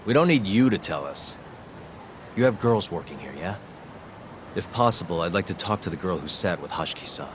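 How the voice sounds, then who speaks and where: A man's voice: deep voice, animated, brisk, noisy background. An actor playing a direct, persistent man pressing for answers in a video game cutscene. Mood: insistent.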